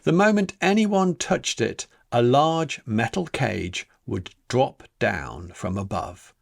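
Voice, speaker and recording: A man reading a children's picture book aloud as its author, in a dramatic, deliberate storytelling manner with emphatic pauses. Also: mid-range voice, animated, creaky, no echo, clean studio sound. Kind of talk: expressive children's story narration.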